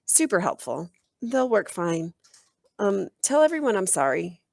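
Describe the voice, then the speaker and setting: A woman's voice: mid-range voice, very animated, measured pace, gravelly, a little mellow, dry recording, exaggerated voice. A woman voicing a clever, reluctant sixth-grade girl with an offhand, evasive manner in a storybook.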